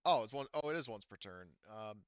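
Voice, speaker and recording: A man's voice: lowish voice, expressive, fairly quick, clean tone, dry recording, dull audio. A man casually narrating a tabletop game as game master, thinking aloud through the rules in an informal way.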